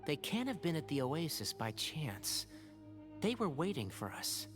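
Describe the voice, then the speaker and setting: Young adventurer, game character, wary, earnest, lively pitch, faint music. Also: male voice, measured pace. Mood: wary, focused. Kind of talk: video game voice acting.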